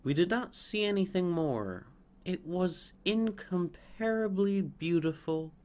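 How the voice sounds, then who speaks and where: A man's voice: sits high, slow pace, voice pushed up. A man doing chirping, know-it-all sparrows. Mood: excited. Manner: chirpy, excited, boastful. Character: chattering flock of sparrows, knowing, excited. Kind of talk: storybook reading.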